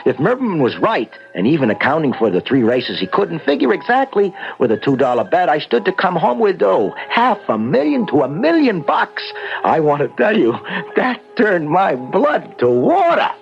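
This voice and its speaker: An actor playing a nervous, excitable gambler telling his story in an old-time radio drama, in agitated, colloquial style. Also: fairly high pitch, moderate pace, creaky, full character voice. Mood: anxious confiding. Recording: dull radio broadcast recording with music.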